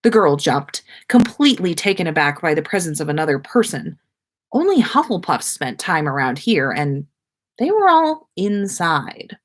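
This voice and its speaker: A woman's voice: low-pitched, very animated, normal speed. Woman reading a story aloud. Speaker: podcast host reading listener fan fiction. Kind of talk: scripted story narration, read aloud.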